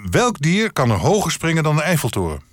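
A man's voice: a little high, huge pitch swings, no echo, clean studio sound. A man playing a pedantic, formal company chairman being interviewed in a satirical radio show. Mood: deadpan joking. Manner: formal, businesslike, measured. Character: earnest male corporate board chairman, pedantic, telling crude jokes.

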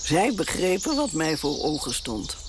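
An actress playing an elderly, stubborn and proud exiled queen in a radio drama, speaking in an imperious, indignant manner with a gravelly voice. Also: sits low, sing-song, a little slow, background noise. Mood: reminiscing.

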